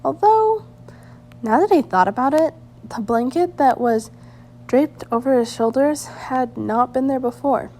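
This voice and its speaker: Woman reading fan fiction out loud, kinda high voice, slow, trips over words and repeats bits. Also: lively intonation, soft background music. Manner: halting reading with stumbles and repeated phrases. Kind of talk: storybook-style narration read aloud.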